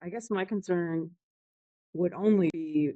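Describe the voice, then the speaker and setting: A woman's voice: lowish voice, unhurried, warm tone, no echo. Female prospective client, conversational and tentative, short replies, dry lo-fi call recording. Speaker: prospective client, part-time real estate agent. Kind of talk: casual conversation on a sales call. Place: online video call.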